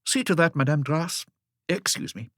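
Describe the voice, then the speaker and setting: A man voicing a tired, businesslike hospital surgeon in an audiobook, brisk and clipped. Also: a little high, fairly bright tone, no room sound, clean studio sound, pitched above the performer's own voice, natural voice. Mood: commanding.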